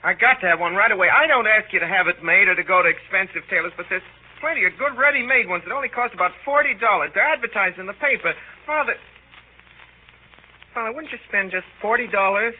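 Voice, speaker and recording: High-voiced, melodramatic teenage boy. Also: lively intonation, quick pace. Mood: desperate pleading. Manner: pleading, stiffly dignified, overwrought. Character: earnest, melodramatic, indignant seventeen-year-old boy. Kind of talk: 1930s radio drama acting. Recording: tinny, narrow old 1930s radio recording.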